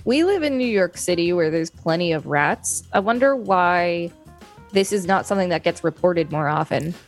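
A woman with a slightly low voice reads an article aloud as a co-host. Her delivery moves between plain informative reading and casual conversation. Soft music plays faintly underneath. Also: animated, moderate pace.

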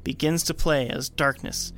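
A man's voice: mid-range voice, steady tone, moderate pace, vocal fry, fairly bright tone. A man co-hosting a film podcast, moving between narrated scene readings and casual discussion. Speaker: podcast co-host. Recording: faint background room noise.

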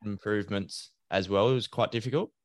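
A man who hosts a farming podcast, asking questions in a relaxed conversational way. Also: on the low side, fairly quick, no room sound. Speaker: podcast host and farmer. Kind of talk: casual interview hosting.

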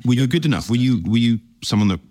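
A man's voice: medium pitch, expressive, quick pace, dark tone, no room sound, studio quality. A male host asking friendly, curious questions in an interview, often restarting his sentences. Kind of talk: conversational interview.

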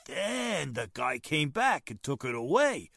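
Woman playing a low-voiced, excitable guard, Mister Howard. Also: a little slow, gravelly, no room sound, heavily acted. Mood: amazed. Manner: folksy, uneducated speech. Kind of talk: 1940s radio mystery acting.

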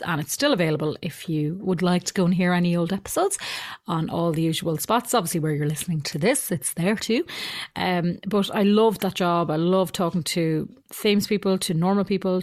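A woman's voice: lowish voice, no room sound, clean studio sound. A woman with an Irish accent, a mix of Midlands and Dublin, hosting her podcast in a chatty, rambling way with frequent laughs. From Ireland. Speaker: podcast host. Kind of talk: casual podcast intro.

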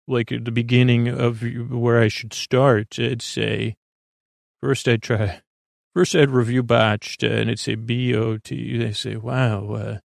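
Halting, gravelly-voiced man rambling softly. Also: mid-range voice, flat delivery, no room sound, studio quality. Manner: halting, meandering, with many fillers and restarts. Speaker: podcast host. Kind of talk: rambling improvised bedtime monologue. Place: small studio.

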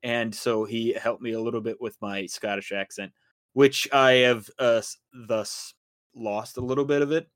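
A man's voice: mid-range voice, fairly even intonation, normal speed, dry recording. A man hosting a podcast, chatty and upbeat as he leads the casual talk. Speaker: podcast host. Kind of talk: casual chat.

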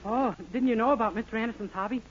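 A man's voice: very high-pitched, fairly even intonation, racing. An actor playing a naive, eager young man who spins wild tales in a 1940s radio sitcom. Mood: deadpan. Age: young adult. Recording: muffled, hissy old 1940s radio recording.

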